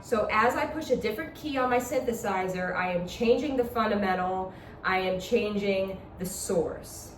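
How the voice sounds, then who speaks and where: A woman's voice: mid-range voice, normal speed, loud music behind the voice. A woman instructor explaining step by step in an informal, demonstrative lecture style. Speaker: instructor demonstrating a talk box. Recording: echoey, distant mic with background noise.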